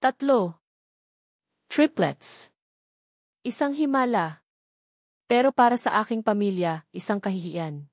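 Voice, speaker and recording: A woman's voice: medium pitch, animated, slow, long pauses, no room sound, clean studio sound. A woman with a Filipino accent narrates a scripted first-person romance story in an emotive way, setting short phrases apart for drama.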